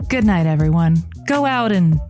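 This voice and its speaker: A woman's voice: lowish voice, lively intonation, a little mellow, faint music behind, lightly acted. A woman playing a suggestive, innuendo-loving radio advice host in a comic audio drama. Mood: sultry, affectionate. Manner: slow, suggestive delivery. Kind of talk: comic radio show acting.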